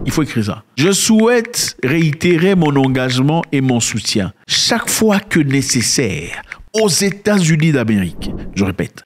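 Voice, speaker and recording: A man giving casual spoken commentary, emphatic and sarcastic, who repeats words and swings between loud and quiet. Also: medium pitch, slight background noise. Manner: sarcastic asides.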